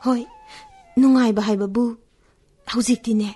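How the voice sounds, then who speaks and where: A frail, weary female flower plant in a radio drama. The voice is fairly high and husky, plaintive yet very quick. Faint music plays behind. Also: fairly even intonation, mellow. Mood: weary, wistful. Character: weary, frail talking ground flower, female, longing for water. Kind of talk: radio play acting.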